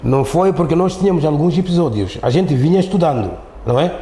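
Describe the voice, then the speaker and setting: Mellow-voiced Mozambican man in an echoey room. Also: on the high side, lively intonation, fast. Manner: repetitive, often ending on tag questions. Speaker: interview guest, Islamic teacher. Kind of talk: conversational storytelling in an interview. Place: echoey studio. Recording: roomy echo, faint background noise.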